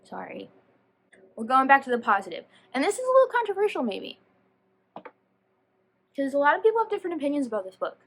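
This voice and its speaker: High-voiced woman rambling animatedly about books. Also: quick pace, long pauses. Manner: animated, rambling, slangy, self-interrupting. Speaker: book vlogger. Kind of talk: casual, chatty book review monologue to camera.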